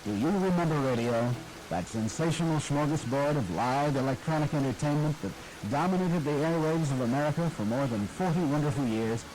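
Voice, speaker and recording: A man's voice: on the high side, fairly quick, darkish tone, a little hiss or hum, rough recording. A man hosting a program on radio history, giving a scripted, nostalgic, storytelling narration.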